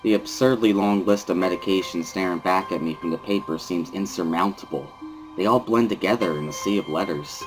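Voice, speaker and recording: A man's voice: sits low, brisk, music in the background, poor audio. A man giving a somber, weary first-person dramatic reading of a visual novel as narrator.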